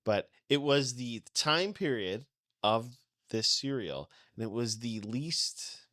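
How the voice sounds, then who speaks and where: A man co-hosting a podcast with casual, animated banter and jokey retellings. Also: mid-range voice, slow pace, no room sound, studio quality. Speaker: podcast co-host.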